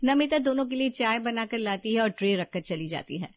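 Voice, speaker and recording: High-voiced woman storyteller, very dry sound. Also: expressive, a little fast, dry recording, highs cut off. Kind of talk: scripted story narration in Hindi.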